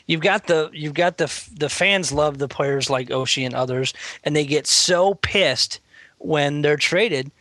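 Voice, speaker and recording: Bright-voiced man in his thirties. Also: a little high, no echo. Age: 30s. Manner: measured, reflective longtime fan. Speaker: podcast co-host. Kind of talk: casual sports chat.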